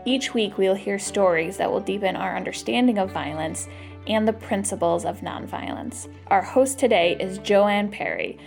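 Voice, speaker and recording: A woman's voice: medium pitch, normal speed, vocal fry. Woman announcer over music. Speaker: podcast announcer. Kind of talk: scripted intro narration.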